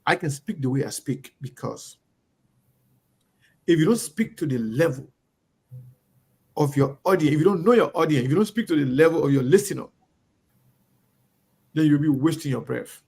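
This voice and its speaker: Middle-aged Liberian man, Liberian English, high gravelly voice, emphatic talk-show host rhythm. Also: animated, moderate pace, long pauses, warm tone. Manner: emphatic, repetitive, preacher-like cadence. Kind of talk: impassioned monologue.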